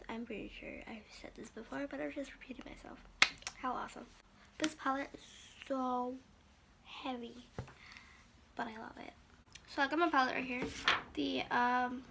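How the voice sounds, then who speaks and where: A young woman with a high voice and a bit of gravelly fry, slightly hoarse from a recent cold. She talks upbeat and chatty, explaining her makeup steps straight to a close camera in a small room at home. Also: a bit bright. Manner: chatty and upbeat, quick asides. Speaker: beauty vlogger. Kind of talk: makeup tutorial narration.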